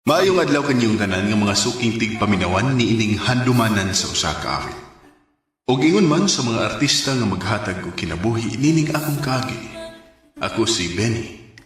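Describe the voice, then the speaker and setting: A man's voice: lowish voice, sing-song, normal speed, fairly bright tone. A man with a Cebuano Filipino accent playing a vain, eager young suitor in a radio drama. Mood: earnest, reflective.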